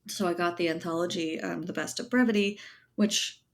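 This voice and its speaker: A woman with a distinctly low voice speaks as a guest in a casual interview. She explains her thinking thoughtfully, sprinkling in "you know" and "like" as she works through each point. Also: normal speed, a little hiss or hum. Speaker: interview guest, writer and editor. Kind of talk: casual conversational interview answers.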